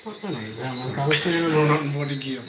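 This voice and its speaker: A man's voice: medium pitch, racing, a crowd in the background. A young man with a Jamaican accent chatting casually over a game, picked up off mic by a phone in a bedroom. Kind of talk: casual chat while gaming. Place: small bedroom, indoors. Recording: noisy phone pickup, off mic.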